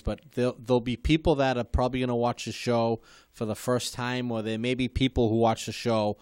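A man hosting a local interview show, asking questions in a friendly, somewhat halting way. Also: mid-range voice, darkish tone, no echo, clean studio sound. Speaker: show host. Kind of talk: casual interview.

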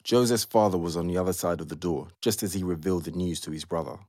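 A man's voice: low-pitched, fast talker, a little mellow, no echo, studio quality, lightly acted. A man performing as the composed narrator of an audio drama, telling the story from a script.

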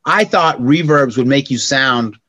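A middle-aged man from California telling stories in a casual interview, slangy and animated, acting out quotes in a raised voice. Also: mid-range voice, measured pace, dry recording, lo-fi sound. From California, United States. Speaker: podcast guest.

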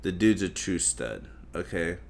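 A young man with a very deep voice hosts a solo podcast, talking in a loose, crude and profane ramble. He mocks himself and drifts from thought to thought, while faint noise from outside hums behind him. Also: sing-song, a little slow. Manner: crude, profane, self-mocking, meandering.

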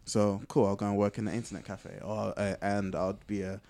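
Low-voiced man chatting on a podcast. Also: flat delivery, a little fast, creaky, dark tone. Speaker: podcast co-host. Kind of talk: casual conversational podcast chat.

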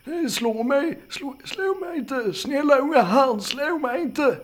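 Man putting on a high-voiced, muddled old man. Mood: pleading, frightened. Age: older. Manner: stammering, repeats words, old-fashioned courteous phrasing. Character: frightened, muddled, polite old country man.

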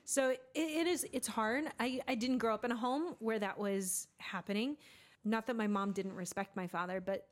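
A woman's voice: fairly high pitch, normal speed. A woman in her thirties co-hosting a casual faith podcast, laughing often and restarting her sentences. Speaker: podcast co-host.

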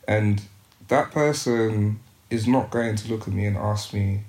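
Young male podcast guest, low voice, thoughtful and halting, roomy echo. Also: darkish tone. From United Kingdom. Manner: reflective, frequent restarts and "um"s. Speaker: podcast guest, youth worker. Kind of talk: casual interview conversation.

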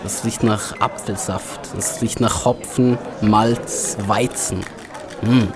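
A slow, dreamy village drinker. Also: male voice, lowish voice, steady tone. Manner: musing, halting, list-like. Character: dreamy village drinker, savouring every smell. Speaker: actor in an audio drama. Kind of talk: atmospheric audio drama acting. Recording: loud music and crowd behind voice.

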